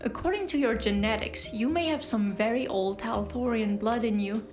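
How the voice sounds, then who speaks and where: A woman's voice: a little high, normal speed, darkish tone, faint music behind, lightly acted. A woman playing a coolly fascinated scientist-healer in an audio drama, speaking in an articulate, clinical, lecturing way. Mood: explanatory.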